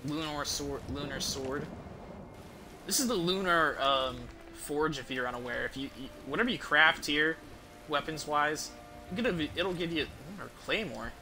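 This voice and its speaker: A man with a slightly high voice talks through a video game as a streamer would. He explains tactics in a loose, rambling way and swears casually. Music plays clearly behind him. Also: moderate pace, pushed and bright. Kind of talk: casual gameplay commentary with tips.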